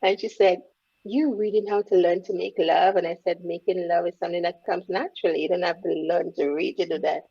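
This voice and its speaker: A woman talks in a low, gravelly, husky voice. She is mellow and reflective as she shares her story. The audio is dull and lo-fi. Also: steady tone, brisk. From Caribbean, born in Grenada, raised in Trinidad. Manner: reflective, speaks openly about hard memories. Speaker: guest, empowerment coach. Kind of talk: candid interview conversation.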